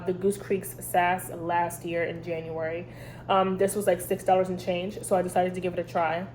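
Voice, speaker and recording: A woman's voice: lowish voice, warm tone, a little hiss or hum, rough recording. A woman with a head cold and a stuffy, congested voice, chatting casually as host of a review vlog with lots of ums. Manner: chatty, rambling, many ums. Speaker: candle review channel host.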